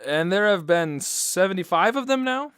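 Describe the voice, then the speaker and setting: A male announcer and host of a radio drama, with a fairly high, very lively voice. His scripted delivery is polished and theatrical, recorded close and dry. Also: normal speed, smooth, no room sound.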